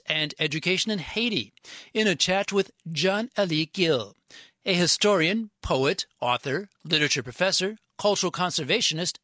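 A man hosts a radio show with a bright, fairly high voice. He reads his scripted lines in a measured way. The sound is dry and close. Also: no room sound.

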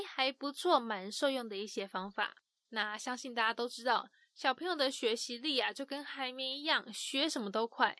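A woman's voice: a little high, sharp tone, no echo. A woman narrating and explaining an interview clip in a friendly, encouraging way. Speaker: podcast host explaining the clip. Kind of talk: scripted narration.

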